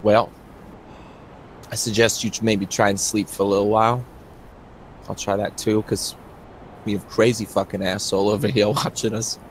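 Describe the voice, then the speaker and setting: A man's voice: fairly low pitch, flat delivery, normal speed, long pauses, slight background noise, natural voice, low-quality recording. Man playing a blunt, sardonic young woman survivor. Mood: weary, sardonic. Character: skeptical, foul-mouthed young woman survivor, weary and sardonic.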